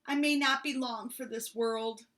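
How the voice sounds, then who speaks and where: A stuffy-nosed, rasping male bear, sick and grumpy, whining about his cold, as a woman reads him aloud from a storybook. The voice is pitched up high and sounds bright and pushed. He speaks slowly and heavily. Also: steady tone. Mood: grumbling. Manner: whiny and self-pitying.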